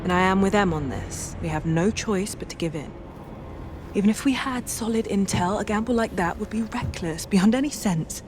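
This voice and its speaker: Woman acting a sensible intelligence officer, mid-pitched, earnest, cautious, game cutscene, music behind. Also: close to an everyday voice. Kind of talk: cinematic game dialogue.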